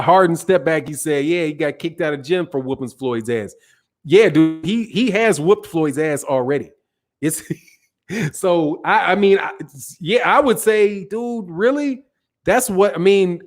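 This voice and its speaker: A man hosting a casual boxing livestream, reading viewer comments and arguing in loose, slangy banter that keeps trailing off and restarting. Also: fairly high pitch. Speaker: boxing channel host.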